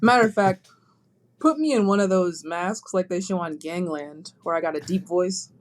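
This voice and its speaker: A woman guest on a casual podcast, joking and animated as she tells stories over a call. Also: on the low side, normal speed. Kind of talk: casual chat. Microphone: remote call.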